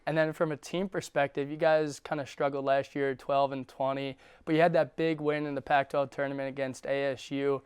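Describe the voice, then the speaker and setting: A man's voice: mid-range voice, level tone, a little fast, few pauses, clean tone, no echo. A young man hosting a student sports show, asking polished interview questions in a studio. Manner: fluent, polished presenter delivery. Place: studio set.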